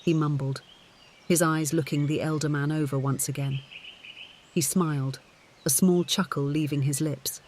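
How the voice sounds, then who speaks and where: A man narrates scripted fiction in a voice pitched a little high. Faint outdoor-like ambience and bird sounds sit behind his audiobook reading. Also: fairly even intonation, moderate pace, a little mellow, slight background noise.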